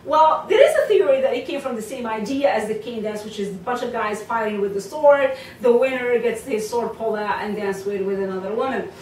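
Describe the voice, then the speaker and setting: A woman's voice: fairly high pitch, a little fast, few pauses, darkish tone, a little hiss or hum. A woman from Morocco, a belly dance teacher, talking casually to camera with lots of fillers and asides. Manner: chatty, many fillers and asides. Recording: roomy sound from a distant mic.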